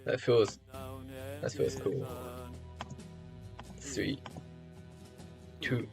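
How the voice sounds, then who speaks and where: A man chatting casually on a live stream, rambling with lots of fillers and restarts, his voice a little rough as if from early mornings. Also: mid-range voice, extremely slow. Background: faint music.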